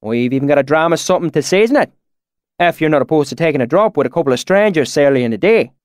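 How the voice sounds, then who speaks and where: A man's voice: a little high, expressive, quick pace, dry recording, studio quality, pitched above the performer's own voice, natural voice. A man doing a sly young man faking a country dialect. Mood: sly folksy. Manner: put-on folksy country dialect.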